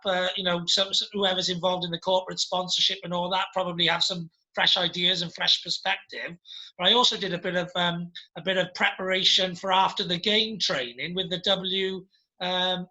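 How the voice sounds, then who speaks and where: A man's voice: high-pitched, level tone, a little fast, sharp tone, dull audio. A man with a Welsh accent hosting a podcast, chatting casually in a direct, talkative way. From Cardiff, Wales. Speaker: podcast host. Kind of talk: casual chat.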